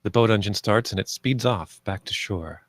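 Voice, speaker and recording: A man with a lowish voice narrates the stage directions of a screenplay. His delivery is plain and measured, and the sound is dry and close. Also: a bit bright, no room sound. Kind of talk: scripted narration at a screenplay table read.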